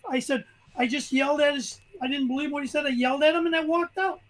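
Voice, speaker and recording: High-voiced older man. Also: steady tone, fast, fairly bright tone, rough recording. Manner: rambling, restarts sentences. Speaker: guest. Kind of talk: casual chat, storytelling.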